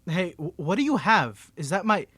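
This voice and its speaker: A man's voice: sits high, sing-song, normal speed, background noise. A man voice acting an ASMR roleplay as a cocky young superhero with a secret identity, stammering and teasing as he swings between confidence and nerves. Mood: teasing, flustered.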